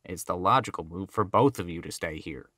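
Guy doing a bossy werewolf elder, lowish hard bright voice, stern and blunt. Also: a little fast, no room sound, studio quality. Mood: stern, skeptical. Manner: stern, authoritative. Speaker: voice actor. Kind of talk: audio roleplay drama.

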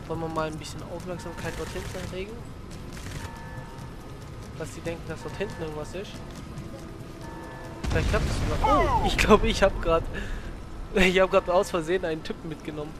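Young male let's-play host from Germany, slightly high voice, rambling and crude, loud game music under him. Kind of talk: casual gaming commentary. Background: game music and sounds.

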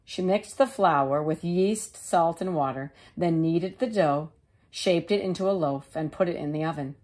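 A woman teacher reading a children's storybook aloud in a measured, expressive way. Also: sits low. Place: living room couch, indoors.

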